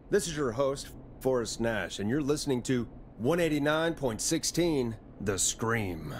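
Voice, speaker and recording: An actor playing a weary, dry-witted late-night radio host in a video game, deadpan and grudging. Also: male voice, medium pitch, a little hiss or hum, natural voice. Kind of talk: game voice acting.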